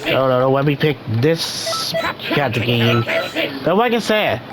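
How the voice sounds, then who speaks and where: A young man hosting a casual gaming vlog, rambling with many restarts while his voice recovers from being hoarse. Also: medium pitch, sing-song, unhurried, over a noisy crowd. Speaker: gaming channel host.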